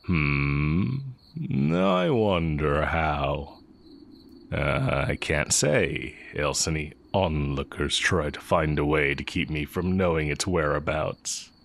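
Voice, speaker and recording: A man playing a sly, heretical magic teacher with secret longing, speaking in a measured, teasing way in a romantic audio roleplay. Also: a bass voice, animated, creaky, full character voice. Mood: sly, confident. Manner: measured, deliberate, teasing delivery with drawn-out pauses.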